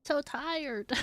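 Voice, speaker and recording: Female game streamer; casual, giggly asides, high pitch, very unhurried delivery. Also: no room sound. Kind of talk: casual livestream reactions.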